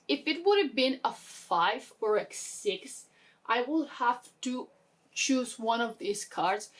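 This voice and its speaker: A woman chatting casually as a book vlogger, explaining things informally and interrupting herself with little asides. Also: fairly high pitch. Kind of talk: casual chat explaining a reading game.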